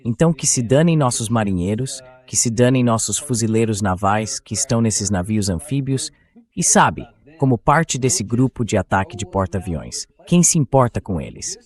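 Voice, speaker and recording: A man's voice: mid-range voice, animated, normal speed, a little mellow. A man with a Brazilian accent hosting opinionated news commentary in a blunt, sarcastic, colloquial way. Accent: Brazilian Portuguese. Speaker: talk show host and political commentator.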